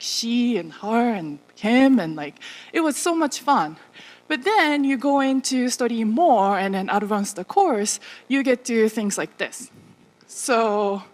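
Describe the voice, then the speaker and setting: A woman in her thirties from Japan with a Japanese accent gives an informal, chatty conference talk as a non-native English speaker. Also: fairly high pitch. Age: 30s. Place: large auditorium stage, indoors. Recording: echoey hall with long reverb.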